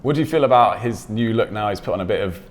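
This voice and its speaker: A man's voice: fairly low pitch, expressive, fast. A man interviewing a guest, easygoing, with short agreeing replies. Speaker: sports interviewer. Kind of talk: casual interview questions.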